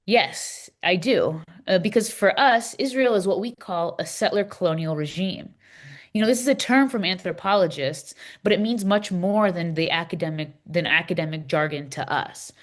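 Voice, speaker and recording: A woman's voice: fairly low pitch, normal speed, lightly acted. A woman in a readers theater playing an impassioned Palestinian advocate, speaking in an earnest, emphatic, declarative way. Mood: earnest, indignant. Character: impassioned Palestinian woman explaining a church document. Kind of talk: scripted readers theater dialogue.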